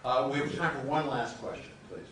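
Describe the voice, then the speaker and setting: A man's voice: mid-range voice, fairly even intonation, fairly quick, a bit bright. An American man, a university dean, moderating a live public discussion and inviting questions. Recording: echoey hall from far off, noisy.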